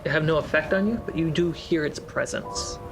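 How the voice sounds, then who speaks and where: A game master narrating a tabletop adventure, dwelling on each detail at an unhurried pace. The voice sits at an ordinary pitch. Soft music plays underneath. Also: poor audio. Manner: slow, deliberate scene description. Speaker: game master and channel host. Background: background music score.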